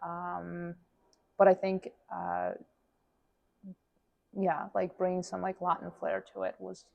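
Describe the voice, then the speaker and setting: A woman's voice: lowish voice, completely flat delivery, creaky, dull audio. A young woman from Mexico, a guest in a casual interview, talking chattily with lots of fillers and restarts. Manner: chatty, many fillers and restarts, quick to laugh.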